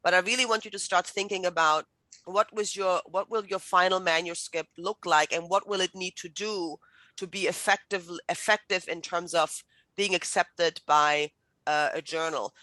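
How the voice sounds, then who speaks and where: A woman's voice: on the low side, steady tone, moderate pace, pushed and bright, dry recording, rough recording. A middle-aged woman from Germany, German native, giving a chatty online writing workshop with many fillers and restarts. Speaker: writing instructor. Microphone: video call.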